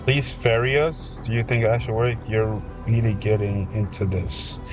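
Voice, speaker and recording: A man with a slightly low voice comments casually as he streams a game. Game music plays clearly behind his short reactions. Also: moderate pace, low-quality recording.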